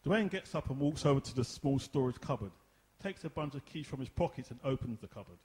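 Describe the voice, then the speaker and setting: A man's voice: mid-range voice, animated, fairly quick, darkish tone. A man narrating a scripted drama, reading out the stage directions.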